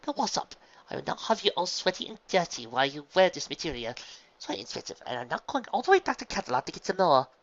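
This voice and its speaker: A woman's voice: fairly low pitch, sing-song, fast, highs cut off, pitched above the performer's own voice. A woman voicing a prim, fashion-obsessed young lady unicorn who talks in a haughty, fussy way.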